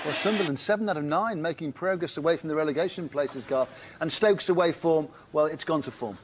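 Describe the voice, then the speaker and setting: A male studio presenter with a slightly high-set voice hosts a live football results programme. He delivers score updates and hands over to reporters in a broadcast style. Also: a little fast, background noise.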